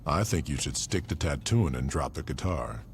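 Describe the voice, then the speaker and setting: A man's voice: rumbling low, expressive, moderate pace, vocal fry, full character voice. An actor playing a menacing, hot-tempered male gang boss in a video game, swaggering and threatening. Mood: irritated. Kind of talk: video game cutscene acting. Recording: loud noise behind the voice.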